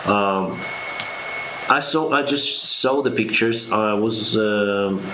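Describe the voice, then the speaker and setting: A man from Ukraine with a Russian accent, an airline pilot answering viewer questions on a live stream, speaking slowly with many fillers. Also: lowish voice, sharp tone, reverberant, a little hiss or hum, low-quality recording. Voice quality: slow, halting speech with fillers.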